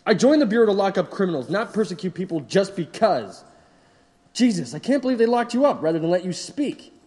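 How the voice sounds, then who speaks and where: Disillusioned male agent played by a man, audio drama: angry, earnest, lively pitch, long reverb. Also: a little fast. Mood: troubled, angry. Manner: earnest, troubled. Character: troubled lawman with a conscience, angry, disillusioned. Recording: reverberant, hall-like sound.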